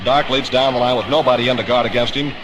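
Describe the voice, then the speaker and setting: A man's voice: mid-range voice, fairly even intonation, fast, lo-fi sound, noisy background. A man calling baseball play-by-play in a dramatic, florid 1950s radio style, on an old broadcast recording. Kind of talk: 1950s radio sports commentary. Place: outdoor ballpark broadcast position.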